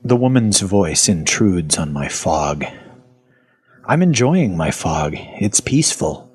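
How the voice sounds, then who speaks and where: A weary, sardonic male captive, played by an actor tells his own audio horror story. His voice is very low and husky, with wide swings in pitch. The delivery is wry and clipped. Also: slow pace, heavily acted. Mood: wry narration. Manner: deadpan, wry, clipped phrases. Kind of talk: first-person audio fiction narration.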